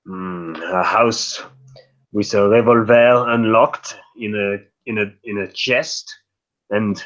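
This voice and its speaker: A man playing a suspicious, stiffly polite gentleman guest in a mystery roleplay, halting and deliberate, on a dull microphone. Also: fairly low pitch, steady tone. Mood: wary, uneasy. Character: wary, formal gentleman guest, suspicious and measured. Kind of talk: improvised roleplay dialogue.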